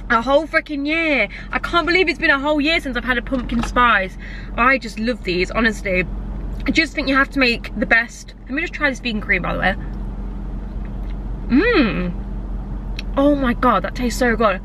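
A woman's voice: on the high side, normal speed, noisy background. A young woman from England, vlogging in a chatty, gushing way to a camera held at arm's length, sounding nasal from a blocked nose. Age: young adult. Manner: chatty and gushing, talks while eating. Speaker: lifestyle vlogger. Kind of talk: casual chat to camera. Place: parked car, then a home kitchen. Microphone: camera mic at arm's length.

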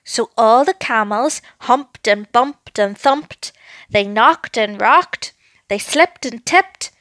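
A woman narrating a children's story with an expressive, deliberate, dramatic delivery. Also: a little high, dry recording.

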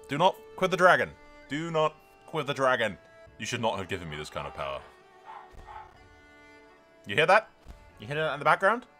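Man, gaming commentator, lively swooping pitch, theatrical lore narration, casual swearing, music behind the voice. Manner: theatrical, switches between storytelling and sweary casual remarks. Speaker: gaming video host. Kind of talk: strategy game commentary with dramatic role-play lore narration.

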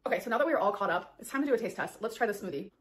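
A woman's voice: mid-range voice, expressive, rapid-fire. A woman in her thirties chatting to viewers in a casual cooking and eating vlog, filmed in her home kitchen. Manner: chatty, talking straight to viewers. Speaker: vlogger in the reacted video.

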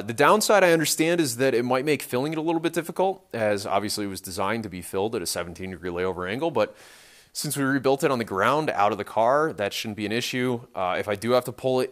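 A man in his thirties talking casually and unscripted as a vlog host in a garage workshop, explaining technical things in an offhand, dryly joking way. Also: on the low side. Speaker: host of a car restoration vlog. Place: large cluttered indoor garage workshop.

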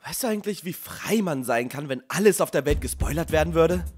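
Casting: a man as crass young sketch-comedy prankster, high and very animated, music underneath. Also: brisk, breathy edge. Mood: conversational. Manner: crass, declamatory. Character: brash young male prankster blurting spoilers. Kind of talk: German comedy sketch fandub.

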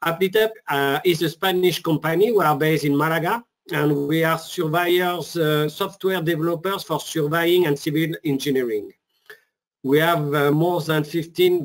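A man with a French accent presenting a software webinar, halting with many fillers. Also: on the high side.

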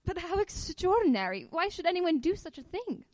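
Woman playing a high-voiced, inquisitive wife. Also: very animated, moderate pace, darkish tone, dry recording. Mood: intrigued, earnest. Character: inquisitive, persistent wife, suspicious yet affectionate. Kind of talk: radio play acting.

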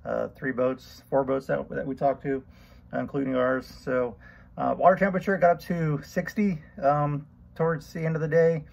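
A middle-aged man giving a casual fishing report, relaxed and rambling with many ums and uhs, recorded on a camera at arm's length. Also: fairly high pitch, moderate pace, vocal fry. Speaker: fishing vlogger. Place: outdoors on a boat on a lake. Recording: loud outdoor boat and wind noise. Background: boat motor and water noise.

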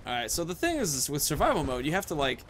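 Young male gaming host, rambling, jokey, lively pitch, highish voice, casual commentary. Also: quick pace. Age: young adult. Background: game ambience, faint noise.

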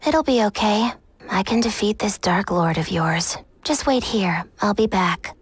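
A young witch girl with a husky, medium-pitched voice. She is curt, gloomy and unimpressed, delivering cartoon game lines completely deadpan. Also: measured pace, dry recording. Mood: deadpan, confident. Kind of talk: animated game cutscene acting.

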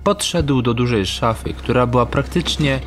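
A man narrating a scripted dark story as an audio drama narrator, in measured, literary style. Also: mid-range voice. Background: music.

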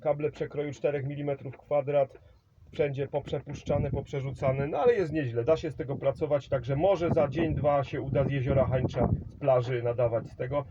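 A man's voice: mid-range voice, fairly even intonation, moderate pace, highs cut off, noisy background. A man working a CB radio contact, rambling about his trailer setup, giving signal reports and handing over the microphone. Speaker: CB radio operator.

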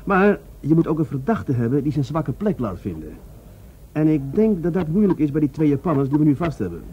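A man playing an earnest, self-doubting police adjutant in a radio drama, speaking deliberately and deferentially. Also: on the high side, very animated. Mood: earnest, explaining. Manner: deliberate, hesitant, deferential to his superior. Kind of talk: Dutch radio drama dialogue. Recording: dull radio recording, faint noise.

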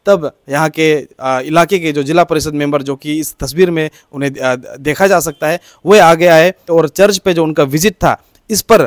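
A man giving a halting, explanatory news report into a handheld microphone. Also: fairly high pitch, no echo, studio quality. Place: outdoor balcony by a green field.

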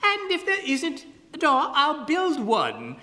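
A shrill, whimsical carpenter from a storybook, squeaking in falsetto and stretching his words in a teasing sing-song. His pitch leaps all over the place, and a long hall reverb hangs behind him. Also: huge pitch swings, slow, bright, forceful tone, heavily acted. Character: eccentric male carpenter, beachcomber, shrill and gleeful.

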